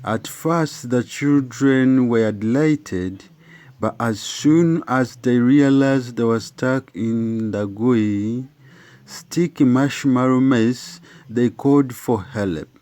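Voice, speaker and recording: A man reading a children's story aloud in a very slow, deliberate way. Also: mid-range voice, a little mellow, no echo. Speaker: storybook reader.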